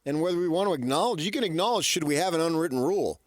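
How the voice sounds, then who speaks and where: American man talking sports, halting and dry-miked. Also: fairly high pitch, animated, no room sound. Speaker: sports radio co-host. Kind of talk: casual sports talk opinion. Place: radio studio. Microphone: close studio microphone.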